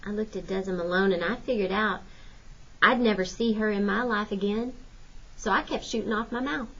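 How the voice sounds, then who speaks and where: A woman's voice: medium pitch, moderate pace, sharp tone, husky. Woman reading a story, slightly muffled recording. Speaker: teacher reading aloud. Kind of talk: audiobook narration of a children's novel.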